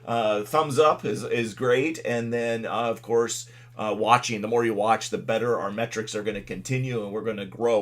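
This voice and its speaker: A man with a fairly low voice hosts a live weather stream from his desk. He talks loudly and casually, stopping often with fillers as he clicks through maps. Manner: loud, halting delivery with many fillers. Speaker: local weather livestream host. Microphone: desk microphone.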